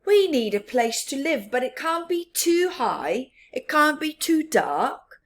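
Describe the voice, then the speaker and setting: A woman's voice: sits high, very animated, slow pace, pitched above the performer's own voice, heavily acted. A woman voicing an eager, fussy, plaintive young fox in a children's storybook, with emphatic exclamations. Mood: eager, pleading.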